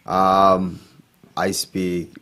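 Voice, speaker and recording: A man in his twenties, Vietnamese his first language, chatting as an interview guest, relaxed and quick to laugh. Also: low voice, clean tone. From Philippines-born, raised in Florida. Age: 20s. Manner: relaxed, laughs easily, filler-heavy. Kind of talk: casual interview.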